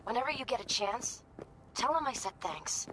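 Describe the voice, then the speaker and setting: A woman's voice: fairly high pitch, expressive, moderate pace, fairly bright tone, rough recording. A woman playing a guarded, uneasy corporate professional in a video game, clipped and evasive in her speech. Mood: businesslike. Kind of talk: naturalistic video game voice acting.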